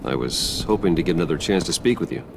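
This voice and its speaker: Composed guarded man, extremely low pitch, gravelly creak, measured, understated, dryly sincere, game dialogue. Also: animated, noisy background. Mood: polite, composed. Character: composed, guarded wealthy man working his way into a gang.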